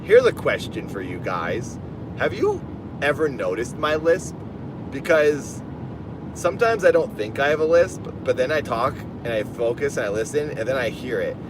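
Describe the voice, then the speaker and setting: A man's voice: mid-range voice, expressive, moderate pace. A man with a lisp chatting casually on a livestream from his car while driving, rambling and reading out donations. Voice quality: lisp on S sounds. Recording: car road noise behind.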